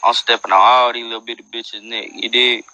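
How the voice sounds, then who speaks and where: A man's voice: mid-range voice, fairly even intonation, a little fast, pushed and bright, dry recording, rough recording. A young man hosting a phone livestream at night, boasting in loose street slang and freestyling. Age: young adult. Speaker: livestream host. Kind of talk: casual livestream banter and freestyle rap. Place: dim room at night. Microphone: earbud headset mic on a phone.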